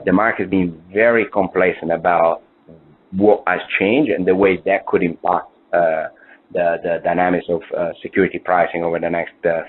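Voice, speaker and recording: Low husky man on a phone line. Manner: fillers and restarts, emphatic repetition. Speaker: fund investment officer. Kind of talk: conference call presentation. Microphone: conference call phone line.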